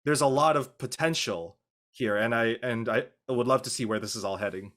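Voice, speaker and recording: Guy chatting on a panel, average pitch, earnest, deliberate way of explaining stuff. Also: fairly bright tone. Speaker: actor, panel guest. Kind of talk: casual panel discussion.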